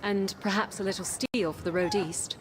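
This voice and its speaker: An actress voicing a determined young princess adventurer in an audio game. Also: female voice, medium pitch, steady tone, normal speed, very noisy, lightly acted. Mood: determined, curious. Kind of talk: video game voice acting. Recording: reverberant sound with faint music behind.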